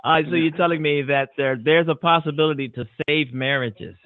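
A man's voice: on the high side, fairly even intonation, a little fast, dry recording. A man hosting a talk show, friendly and chatty, laughing easily and repeating his words. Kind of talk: casual interview hosting. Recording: dry, phone-like narrow sound.

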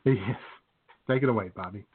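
A man's voice: on the low side, normal speed. A man hosting a radio show with casual, folksy, rambling comedic banter. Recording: tinny phone-like sound, faint noise.

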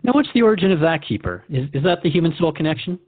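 A man's voice: a little high, sing-song, racing, husky, no room sound. A man hosting a radio drama dialogue, informal and keen, recapping points and asking questions in a conversational way. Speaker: radio host. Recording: dry, dull phone-like narrow sound.

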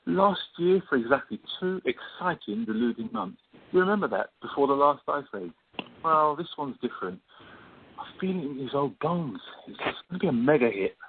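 A man's voice: a little high, very animated, normal speed. A man playing a teasing, wry playwright friend in a radio play, with a playful, theatrical manner. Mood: self-mocking. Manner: playful, self-mocking, theatrical. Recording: tinny phone-like sound with faint noise.

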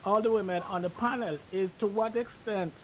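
A male radio host with a high voice asks probing questions and keeps the talk moving with short affirmations. The sound is narrow and phone-like, with faint noise underneath. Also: fairly even intonation, brisk. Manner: probing, frequent brief affirmations. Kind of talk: radio talk show hosting.

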